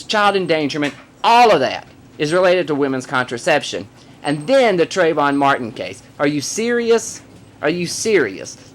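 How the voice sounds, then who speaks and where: A man who performs in drag, hosting his own vlog and giving emphatic, opinionated commentary straight to the camera, hammering points home with repetition. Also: a little high. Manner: emphatic, forceful, repeats points for effect.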